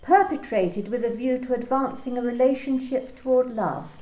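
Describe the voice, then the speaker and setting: A woman's voice: a little high, normal speed, warm tone, close to an everyday voice. A woman acting an officious, politely menacing manager in an amateur radio play, with formal, precise, probing questions. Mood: officious, pedantic. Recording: roomy, echoey home recording.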